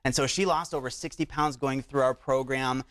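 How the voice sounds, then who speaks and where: A man's voice: medium pitch, steady tone, normal speed, fairly bright tone, dry recording. A man speaking as a doctor in a conversational, promotional TV interview, explaining things with frequent check-ins. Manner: explanatory, uses rhetorical check-ins like "right?" and "okay?".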